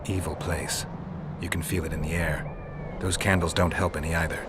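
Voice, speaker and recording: An actor playing a stoic, world-weary monster hunter in a video game, gravelly and matter-of-fact. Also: male voice, low-pitched, moderate pace, background noise, close to an everyday voice. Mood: grim, dry. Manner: terse, flat, matter-of-fact.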